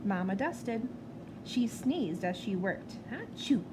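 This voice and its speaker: A woman reading a picture book aloud to children in an expressive storytelling style. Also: a little high, a little slow. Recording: clear background noise.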